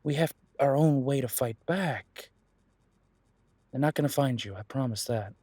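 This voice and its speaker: A protective werewolf detective played by a man talks gently to his partner in an intimate audio roleplay. His mid-range voice is worried yet reassuring, with hesitant pauses. The sound is dry and close, free of room echo. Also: medium pitch, close to an everyday voice.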